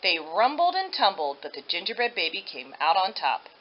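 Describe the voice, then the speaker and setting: A woman reading a children's story aloud with expressive narration. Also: lowish voice, moderate pace. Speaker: storybook reader. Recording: dull lo-fi audio.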